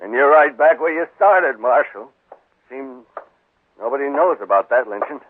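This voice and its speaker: Curt, arrogant western big shot on tinny radio. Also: male voice, mid-range voice, expressive, moderate pace, husky. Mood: smug, cold. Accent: American. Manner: curt, imperious. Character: arrogant, rich, scheming male town big shot. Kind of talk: 1950s radio western acting. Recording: muffled, echoing 1950s radio broadcast.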